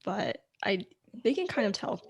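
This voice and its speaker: Relaxed young woman podcaster. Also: medium pitch, normal speed, gravelly, breathy edge. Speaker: podcast co-host. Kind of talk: casual chat.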